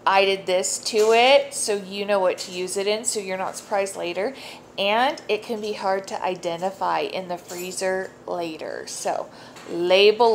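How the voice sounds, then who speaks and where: A woman's voice: medium pitch, a little hiss or hum. A woman, chatting casually through a home cooking tutorial, upbeat and full of asides. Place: home kitchen.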